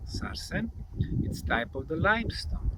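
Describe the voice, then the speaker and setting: A man presents outdoors through loud wind noise. His voice sits a little high and swings widely in pitch. He explains things in short, emphatic, deliberate phrases. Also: very animated. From Bosnia. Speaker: presenter and field researcher. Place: outdoors in an open field.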